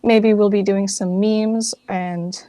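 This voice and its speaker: A woman speaks as a guest in a casual interview, in an informal way full of "like". Her pitch is average for a woman and the sound is dry, without room echo. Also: fairly even intonation, takes it slowly, dark tone. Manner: informal, filler-heavy with frequent "like". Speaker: guest, fan project director.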